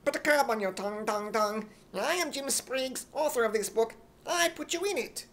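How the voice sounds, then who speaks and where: A man's voice: very high-pitched, animated, a little fast, a bit bright, heavily acted. A man voicing a pompous, eccentric author in an imitation British accent for radio comedy. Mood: pompous, gloating.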